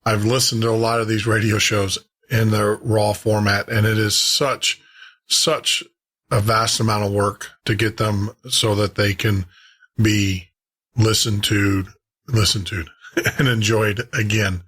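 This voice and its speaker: A man's voice: lowish voice, level tone, unhurried, a bit bright, breathy edge. A man hosting a show, chatting to listeners in an informal, rambling way. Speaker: podcast host.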